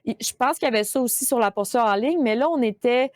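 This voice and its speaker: A woman's voice: fairly high pitch, quick pace, no room sound, clean studio sound. A woman with a Québécois French accent, chatting casually as a guest streamer, with many fillers and restarts. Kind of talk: casual podcast chat.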